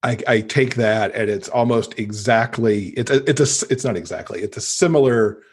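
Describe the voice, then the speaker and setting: A man's voice: mid-range voice, moderate pace, husky. A man from the United States, a podcast guest telling stories casually and enthusiastically. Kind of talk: casual conversational storytelling.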